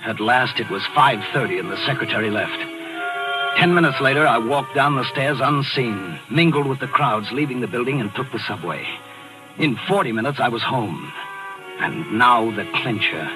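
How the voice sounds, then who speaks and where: An actor playing a sly, smug, composed murderer in a 1940s radio thriller. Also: male voice, mid-range voice, lively intonation, normal speed, close to an everyday voice, low-quality recording. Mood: smug, calculating. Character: sly male actor, smug murderer narrating his crime. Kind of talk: 1940s radio thriller acting and first-person narration. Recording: old radio broadcast recording with music.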